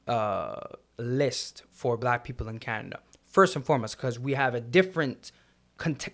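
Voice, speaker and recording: A man's voice: mid-range voice, fairly bright tone. A man co-hosting a podcast, explaining his points earnestly and in order. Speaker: podcast co-host. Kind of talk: conversational podcast discussion.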